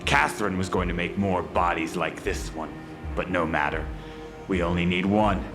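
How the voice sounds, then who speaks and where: An actor playing a hulking, mocking turncoat villain in a dark audio drama, taunting and cold in his delivery. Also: low-pitched, steady tone, moderate pace. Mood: cold, resolute. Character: menacing, mocking hulking male turncoat, superhumanly strong. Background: music.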